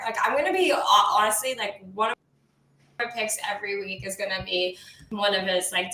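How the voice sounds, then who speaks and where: A woman's voice: a little high, pushed and bright. A woman co-hosting a music radio show in casual, effusive, slangy chat. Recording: music playing behind, roomy echo.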